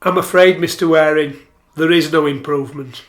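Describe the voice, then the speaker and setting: An actor playing a concerned, matter-of-fact doctor in a radio play, questioning and firm. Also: male voice, fairly high pitch, steady tone, moderate pace, lightly acted. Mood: firm reassurance.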